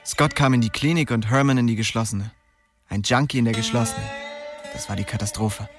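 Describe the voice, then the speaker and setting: A man's voice: medium pitch, a little fast, natural voice. Man acting restless young storyteller, tough, blunt, intense, mid-range voice, radio play, music underneath. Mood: grim. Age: young adult. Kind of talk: first-person radio drama narration.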